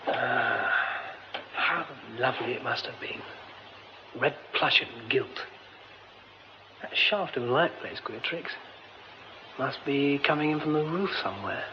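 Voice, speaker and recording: An actor playing a polite, excitable, educated theatre man with a British accent and an expressive manner in a radio drama. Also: medium pitch, takes it slowly, pauses often, natural voice. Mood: musing. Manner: expressive, muttering exclamations to himself. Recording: dull, noisy radio recording.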